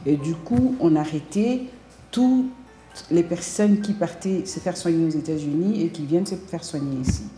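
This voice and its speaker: Low, dark-voiced woman in a roomy echo. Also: faint music behind. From Congo. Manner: halting, many fillers and restarts. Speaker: interviewee, hair clinic co-founder. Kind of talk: interview answers.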